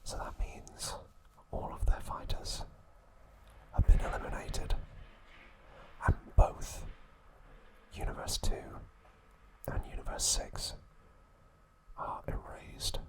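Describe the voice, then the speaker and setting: Male ASMR host, breathy whisper throughout, slow and hesitant trivia narration. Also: poor audio. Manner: soft unvoiced whisper with frequent "uh" pauses.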